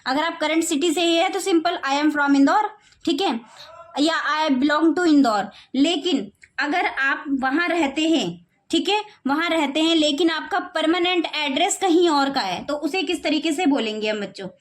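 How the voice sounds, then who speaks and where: A woman teaching spoken English to children, slowing right down to model each phrase and often checking in with "okay, children.". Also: high voice. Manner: slows down sharply to model English phrases word by word; repeats phrases and keeps saying "okay, children". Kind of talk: classroom-style lesson in Hindi.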